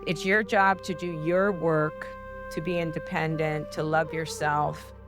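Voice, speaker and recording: A woman's voice: sits low, little pitch movement, measured pace, over music. A woman hosting a spiritual podcast, talking in an earnest, heartfelt coaching tone. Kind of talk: casual spiritual advice talk.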